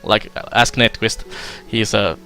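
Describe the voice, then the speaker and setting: A man's voice: lowish voice, a little slow, faint music behind. A young man from Finland with a Finnish accent, casually commenting on a strategy game he plays while answering viewers' chat. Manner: relaxed and chatty, many fillers, laughs often. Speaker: streamer. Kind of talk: let's play commentary while reading chat.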